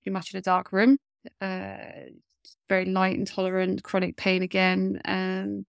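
A woman's voice: medium pitch, monotone, moderate pace, gravelly, smooth, no echo, studio quality. A woman in her forties, a coach speaking as an interview guest, talking earnestly with a blocked-up nose and many fillers. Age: 40s. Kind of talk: casual interview chat.

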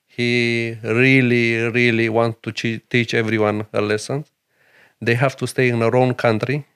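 A middle-aged man from Romania with a Romanian accent, a chef and restaurant owner speaking earnest, halting English in a podcast interview. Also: medium pitch, flat delivery, fairly bright tone, smooth. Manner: broken English, earnest and emphatic, many pauses.

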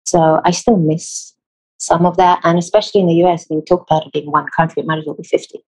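Husky low-voiced woman, thoughtful. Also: steady tone, darkish tone, poor audio. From Israel. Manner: thoughtful, measured, with pauses and self-corrections. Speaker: theatre designer. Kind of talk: interview answers, reflective.

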